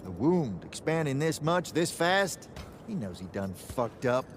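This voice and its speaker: A man playing a wry, folksy older battlemage veteran in a video game, talking in a colloquial, gruffly avuncular way. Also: mid-range voice, sing-song, unhurried, faint music behind. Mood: exasperated, urgent.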